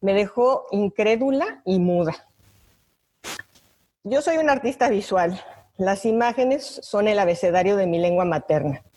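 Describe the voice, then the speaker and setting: A woman's voice: medium pitch, animated, unhurried, darkish tone, slight background noise. Older Mexican woman reading prepared reflections. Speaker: invited panelist, visual artist. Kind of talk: prepared reflections read aloud at an online talk.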